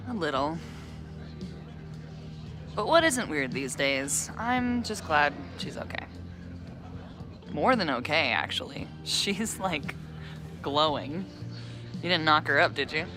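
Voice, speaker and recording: A woman's voice: low-pitched, animated, slow pace, long pauses. An actress playing a teasing, easygoing young woman working at a bar in a video game, chatting casually. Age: young adult. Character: teasing, easygoing young female bar worker who books bands. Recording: music behind the voice. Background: music.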